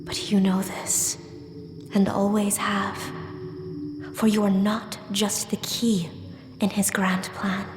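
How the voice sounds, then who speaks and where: A woman playing a tender, reverent otherworldly comforter in a biblical audio drama, in a breathy whisper. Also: mid-range voice, flat delivery, takes it slowly, long pauses, natural voice. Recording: long cavernous reverb, music behind. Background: music.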